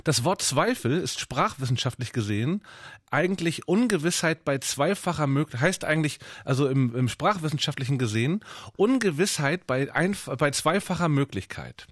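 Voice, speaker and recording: Chatty radio host, dry close sound. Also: male voice, fairly high pitch, expressive, no echo. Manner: chatty, reads aloud haltingly. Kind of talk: casual radio banter. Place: radio studio.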